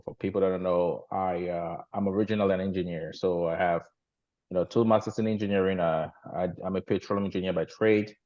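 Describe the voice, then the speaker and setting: Deep-voiced man from Cameroon on a video call. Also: a little mellow, dull audio. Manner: halting, many fillers and restarts, grows emphatic. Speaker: guest agency leader. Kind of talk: casual storytelling on a team call.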